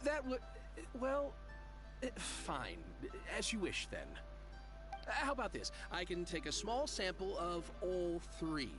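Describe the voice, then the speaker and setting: An actor voicing a wily, flattering male merchant in a video game, with an obsequious, theatrical manner. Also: high voice, slow pace, over music, exaggerated voice. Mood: flustered, reluctant. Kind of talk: animated video game voice acting.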